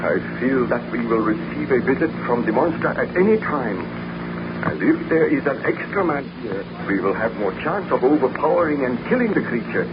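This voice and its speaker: An actor playing an anguished, guilt-ridden baron, speaking formally and melodramatically in 1930s radio drama style. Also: male voice, medium pitch, sing-song, normal speed, lightly acted. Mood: grave, tense. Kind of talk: 1930s radio drama acting, narration and dialogue. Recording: dull, noisy 1930s radio recording.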